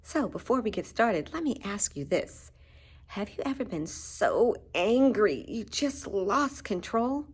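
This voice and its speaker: A woman with a mid-pitched voice reads a picture book aloud to young children. Her delivery is slow and expressive, with dramatic emphasis on key words. She talks directly to her young listeners as a story time host. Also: husky.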